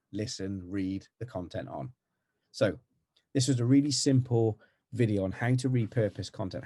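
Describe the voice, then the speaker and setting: A middle-aged man with a West Country accent giving a fast, energetic, chatty marketing tutorial over a video call. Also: lowish voice, slightly rough, dry recording, clean studio sound. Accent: West Country English. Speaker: marketing agency owner. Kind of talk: casual tutorial talk to camera. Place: home with green screen background.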